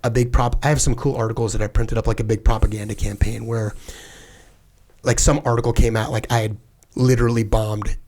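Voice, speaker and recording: A man's voice: fairly low pitch, flat delivery, a little fast, darkish tone. A middle-aged man, a former fighter pilot, speaking as a podcast guest in an earnest, conversational way with lots of "like" fillers. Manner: earnest, with frequent "like" fillers and restarts. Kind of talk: casual conversational interview.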